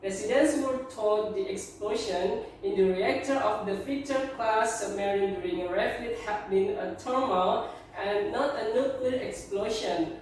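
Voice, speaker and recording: A woman's voice: medium pitch, a little slow, darkish tone. Echoey, distant female student reading aloud. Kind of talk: reading a news text aloud in class. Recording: echoey room from afar, faint noise.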